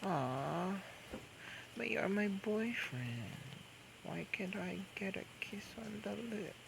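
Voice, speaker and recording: Man playing a slow, drunk, clingy boyfriend, noisy recording. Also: sits high, animated, gravelly, heavily acted. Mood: playful, coaxing. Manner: slurred, drawn-out, coaxing, halting drunken talk. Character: drunk, clingy, playful young boyfriend begging for kisses. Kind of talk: improvised intimate ASMR roleplay. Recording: noisy homemade microphone recording.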